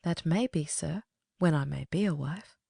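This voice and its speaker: A woman's voice: low-pitched, normal speed, creaky, dark tone, husky, no echo, studio quality, heavily acted. A woman playing a guarded, sorrowful young noblewoman in a Shakespearean dramatic reading, terse and evasive. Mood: guarded, subdued.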